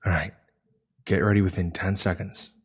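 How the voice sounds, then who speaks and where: An actor playing a nervous, secretive space station saboteur with a cautious manner in an audio drama. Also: male voice, sits low, steady tone, moderate pace, husky. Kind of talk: sci-fi audio drama acting.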